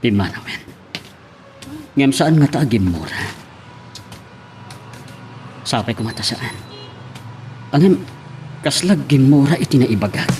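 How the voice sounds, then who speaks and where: A man's voice: mid-range voice, animated, measured pace, long pauses, darkish tone, lightly acted. A man voice-acting a shy, earnest suitor who pleads hesitantly in an Ilocano love drama. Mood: apologetic, pleading.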